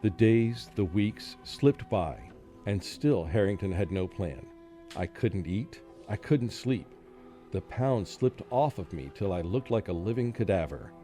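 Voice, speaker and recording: A tormented professor played by a man narrates a radio play in a fairly low voice. He sounds fearful and anguished, halting at times and breaking into frantic outbursts. Music plays clearly behind him. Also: expressive, warm tone. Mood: haunted, weary. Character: tormented, fearful male professor under a deadly curse. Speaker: narrating lead in a radio drama. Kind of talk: dramatic first-person radio play narration. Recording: prominent music under the voice.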